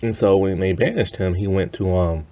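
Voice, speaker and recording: A man giving a casual, rambling spoken review of an animated series in a conversational, easily amused way. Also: sits low, moderate pace.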